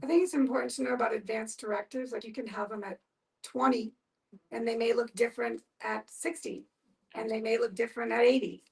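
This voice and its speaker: A woman's voice: on the high side, flat delivery, a little fast, gravelly, a little mellow. A middle-aged woman speaking casually as a participant in a group discussion. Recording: distant microphone.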